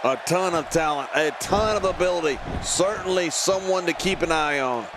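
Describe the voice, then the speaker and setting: A man's voice: on the high side, normal speed, natural voice. An actor playing an opinionated male color commentator in a wrestling video game, speaking in an emphatic, conversational way. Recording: loud arena crowd and noise.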